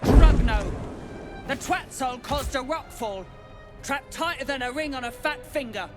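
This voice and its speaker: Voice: high voice, measured pace, music in the background. An actor playing a crude, foul-mouthed dwarf guard in a video game, blunt and mocking. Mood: agitated.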